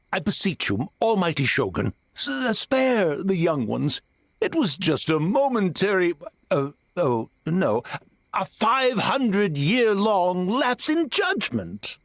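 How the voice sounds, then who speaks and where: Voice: mid-range voice, huge pitch swings, slow pace, dry recording, exaggerated voice. An actor playing a timid old tanuki spirit in a video game, stammering and grovelling. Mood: nervous pleading. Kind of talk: video game story dialogue.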